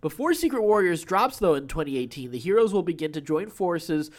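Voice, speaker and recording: A man's voice: on the high side, very animated, a little fast. A man hosting a podcast, reading entertainment news with informal, enthusiastic, chatty asides. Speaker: podcast host.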